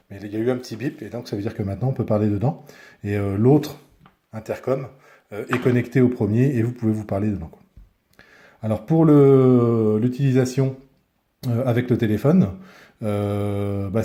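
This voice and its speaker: A man presents gear in an informal, hands-on tutorial. His voice sits fairly low and has a very mellow, dark tone. He talks colloquially, often hesitating as he looks for the right word. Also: steady tone, fairly quick. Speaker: product reviewer and motorcyclist. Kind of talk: casual hands-on tutorial and review.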